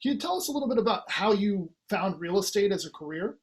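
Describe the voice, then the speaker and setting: A polite, encouraging man hosting an interview. His voice sits a bit higher than most men's, and he asks his questions in an easy conversational way. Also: animated, fast. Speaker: host.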